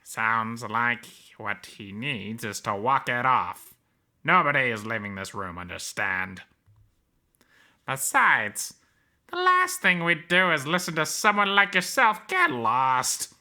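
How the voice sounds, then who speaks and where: A man's voice: mid-range voice, sing-song, takes it slowly, bright, forceful tone, full character voice. A man voicing a callous animal bandit guard in a visual novel, speaking in a dismissive, sneering way.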